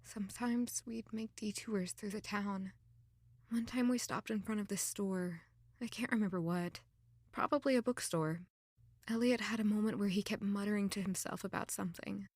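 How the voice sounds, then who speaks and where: A woman's voice: medium pitch, steady tone, moderate pace, a little mellow, no echo, studio quality, close to an everyday voice. A young woman acting an emotional, self-blaming runaway in an audio drama. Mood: wistful, subdued. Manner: dramatic, anguished self-questioning.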